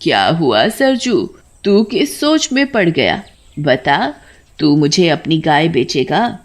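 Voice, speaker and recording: A woman voicing a poor, kind-hearted old village woman in a cartoon story, speaking in a slow, plaintive, drawn-out way. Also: low-pitched, big pitch swings, heavily acted. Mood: firm. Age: elderly. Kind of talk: Hindi moral cartoon story acting.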